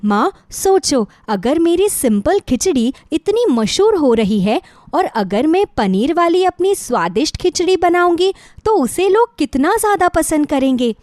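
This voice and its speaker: A woman's voice: high-pitched, very animated, a little slow, few pauses, a little mellow, no room sound, studio quality, pitched above the performer's own voice. A woman voicing a kind, cheerful young daughter who sells food, speaking politely and eagerly in a moral story. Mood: happy, eager.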